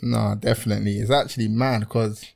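A man's voice: on the low side, fairly even intonation, a little fast, gravelly, a little mellow. A man with a London accent, hosting a casual podcast interview in jokey, slangy talk. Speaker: podcast host. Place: podcast studio.

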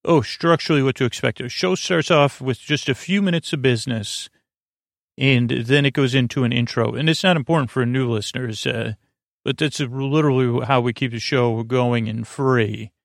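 A man with a gravelly, creaking voice delivers a bedtime podcast monologue. He wanders gently from tangent to tangent, stumbling over filler words and restarts in a soothing way. The sound is close and dry, with very little room around it. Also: mid-range voice, no echo. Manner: halting, digressive, many false starts and filler words, soothing. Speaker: host of a sleep podcast.

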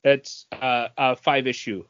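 A man's voice: medium pitch, fairly even intonation, slow pace, a bit bright, clean tone, dry recording. A man hosting a podcast in a casual, conversational review of comic books. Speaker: podcast host. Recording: dull, dry lo-fi sound.

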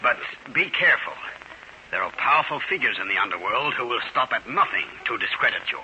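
An actor playing a dignified, formally spoken city mayor in a radio drama. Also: male voice, mid-range voice, sing-song, lightly acted. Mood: earnest. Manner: formal, measured wording. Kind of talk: old-time radio acting. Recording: dull, noisy old radio recording.

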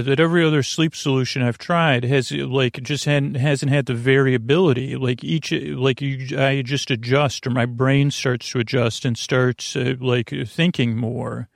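A man hosting a sleep podcast, rambling informally through an introduction in a halting, meandering way, often repeating himself and trailing off mid-sentence. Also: medium pitch, gravelly, no echo, clean studio sound. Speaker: podcast host.

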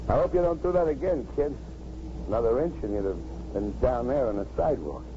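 An actor playing a plain-spoken, kindly American traffic cop with a coaxing, colloquial manner in a 1950s radio drama. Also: male voice, mid-range voice, expressive, a little fast, close to an everyday voice. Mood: reassuring. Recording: muffled, lightly noisy 1950s radio broadcast.

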